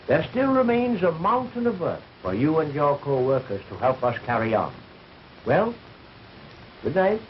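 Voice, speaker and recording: Swooping, formal doctor in an old film. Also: male voice, mid-range voice. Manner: formal, speechifying. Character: earnest, courteous medical researcher. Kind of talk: formal 1930s film acting. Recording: dull, hissy 1930s film soundtrack.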